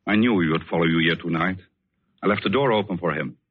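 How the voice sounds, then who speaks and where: An actor playing a grave, devoted man in a 1940s radio suspense drama, speaking deliberately and dramatically. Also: low voice, husky, no echo. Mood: urgent, apologetic. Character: grave, devoted man confessing the truth to his lover. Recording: muffled, narrow old 1940s radio recording.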